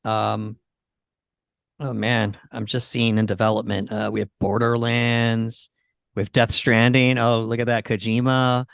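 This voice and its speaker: A man hosting a casual podcast about movies, thinking aloud in a halting way. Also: mid-range voice, flat delivery, vocal fry, smooth, no echo, clean studio sound. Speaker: podcast host.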